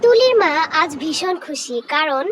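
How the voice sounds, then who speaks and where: A woman's voice: extremely high pitch, very animated, unhurried, some chatter behind, a little hiss or hum. A woman reading scripted narration for a children's cartoon story.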